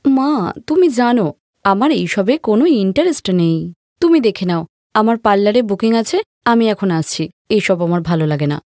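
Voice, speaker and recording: A woman voicing a headstrong, dismissive young bride-to-be in an animated story, impatient and defiant. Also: mid-range voice, normal speed, no room sound, clean studio sound, close to an everyday voice. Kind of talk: Bengali animated moral story acting.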